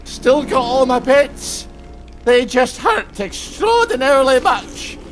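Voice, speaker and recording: A battered ex-soldier for a video game, with a very high-pitched, exclaiming delivery that swings widely. He is sweary, exasperated and blustering, as if everything has gone wrong at once. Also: sing-song, slow, soft background music, heavily acted. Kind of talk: video game cutscene acting.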